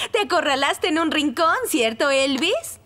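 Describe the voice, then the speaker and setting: Very high-voiced young firewoman. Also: animated, slow pace, exaggerated voice. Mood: friendly. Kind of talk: animated children's cartoon dubbing. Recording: loud music underneath.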